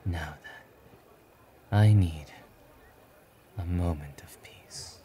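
A man voicing a stoic, quietly kind young demon-slaying hero in a soft, breathy romantic ASMR roleplay. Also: sits low, extremely slow, slight background noise. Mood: reluctant, calm. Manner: aloof, terse, gently reassuring.

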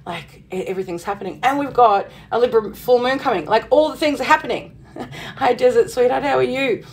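A middle-aged woman with a slightly husky voice, chatting casually to viewers on her livestream from a cottage room, bubbly and full of fillers. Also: on the high side, fairly quick. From Southern Hemisphere. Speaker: witchcraft livestream host. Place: witchy cottage room indoors.

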